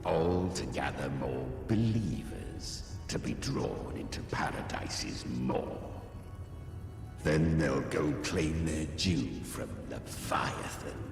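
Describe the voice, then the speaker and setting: An actor playing an ancient infernal beast in a game, menacing, proud and sneering, with a gravelly, grandiose delivery. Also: male voice, very deep voice, slow pace, recorded from a distance, full character voice. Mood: contemptuous. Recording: cavernous echoing hall, music behind.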